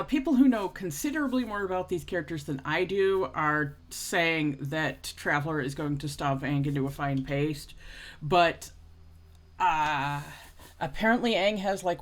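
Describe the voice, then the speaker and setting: A woman's voice: on the low side, measured pace. A woman in her forties giving casual, off-the-cuff reaction commentary as a video host, wry and given to sighs. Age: 40s.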